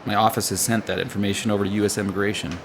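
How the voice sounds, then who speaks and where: A man's voice: on the low side, flat delivery, very fast, a little hiss or hum, close to an everyday voice. A man playing a smug, authoritative government agent in a radio drama. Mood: smug, stern.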